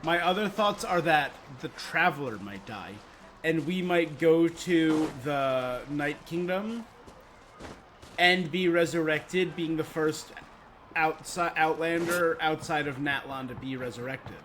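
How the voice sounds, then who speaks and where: A man with a fairly high voice streams a video game. He comments casually and playfully, thinking aloud as he goes. There is faint noise behind him. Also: slow pace, a bit bright. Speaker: game livestreamer.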